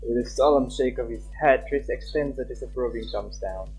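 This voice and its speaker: A man's voice: mid-range voice, moderate pace, a little mellow, rough recording. A man livestreaming a game, chatting casually and reading visual novel text aloud. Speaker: live streamer.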